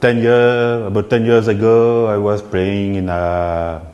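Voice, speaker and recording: A man, giving a live keynote talk at a synth meetup in a relaxed, self-deprecating way with frequent restarts. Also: lowish voice, slow pace, highs cut off. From Belgium. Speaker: keynote speaker. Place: event venue before an audience.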